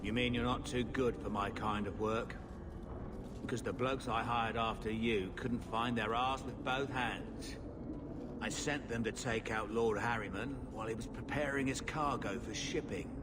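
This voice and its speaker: Male actor as streetwise crime broker, slow coarse slangy delivery, cynical, medium pitch, game dialogue, loud background noise. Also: steady tone, lightly acted. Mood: gruff, businesslike. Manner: coarse slangy drawl with measured pauses. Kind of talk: video game voice acting.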